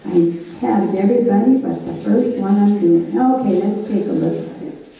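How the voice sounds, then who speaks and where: Older woman, Bible study teacher, folksy storytelling, very mellow tone, echoey hall, distant mic. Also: mid-range voice, normal speed, vocal fry. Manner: folksy, conversational, laughs at her own stories. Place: church hall stage with projector screen. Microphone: handheld microphone.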